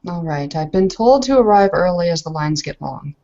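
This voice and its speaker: Woman playing a haughty, low-voiced young noblewoman. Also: lively intonation, moderate pace, warm tone, lo-fi sound. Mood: curt, formal. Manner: clipped, formal, haughty. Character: haughty, angry young noblewoman, curt and proper.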